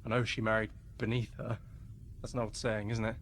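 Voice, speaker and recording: A man's voice: lowish voice, level tone, a little fast, a bit bright, a little hiss or hum. A man playing a meek, awkward, polite captive in a radio play, hesitant and apologetic. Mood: self-deprecating. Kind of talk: radio play monologue acting.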